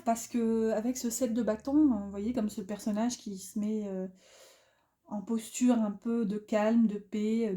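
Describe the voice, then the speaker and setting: A woman's voice: fairly high pitch, dark tone. A middle-aged woman giving a casual tarot reading, chatty and rambling, with many fillers and restarts. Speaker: tarot reader.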